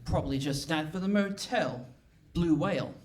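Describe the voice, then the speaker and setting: A man plays a detective's dry, patient son in a comic radio play. His pitch is on the high side. He is recorded on stage, a little away from the microphone. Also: normal speed, a little mellow, lightly acted. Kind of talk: live comic radio theatre acting. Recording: slightly distant stage mic.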